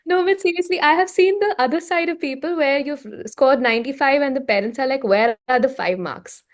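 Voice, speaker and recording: A woman from India with an Indian accent, answering casually in an interview, chatty and expressive, slipping into Hindi phrases. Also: high-pitched, a little fast. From Raipur, India. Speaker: singer-songwriter, interview guest.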